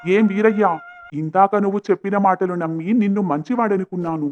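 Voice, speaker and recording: A man's voice: sits high, brisk, dull audio, slight background noise, natural voice. A man voicing a stern, authoritative village headman in a cartoon moral story, firm and commanding. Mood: stern, angry.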